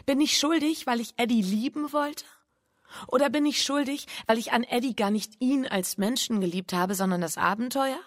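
An actress playing an anguished, guilt-ridden bourgeois wife in a radio drama, speaking with breathy, introspective intensity. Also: female voice, a little high, no room sound, studio quality, close to an everyday voice. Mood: agitated, confessing. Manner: intense, introspective, pleading, halting repetitions. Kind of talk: German radio drama, intimate monologue and confession.

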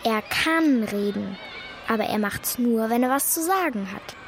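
A woman's voice: a little high, expressive, a little slow. A woman playing a thoughtful, loyal and slightly defensive schoolgirl in a German children's radio play. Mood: earnest. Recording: clear outdoor street noise behind.

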